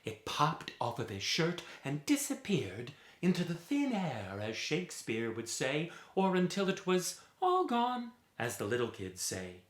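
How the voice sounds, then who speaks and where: A man's voice: fairly high pitch, wildly swooping pitch, echoey room. A man telling a folk tale as a theatrical storyteller, dramatic and rhythmic, drawing out repeated phrases. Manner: dramatic, rhythmic with drawn-out repetitions.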